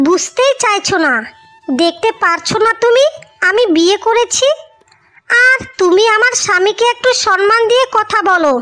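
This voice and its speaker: A woman's voice: very high voice, bright, forceful tone. A woman voicing a selfish, insolent newlywed younger sister in a cartoon fairy tale, scolding and haughty. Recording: music behind the voice.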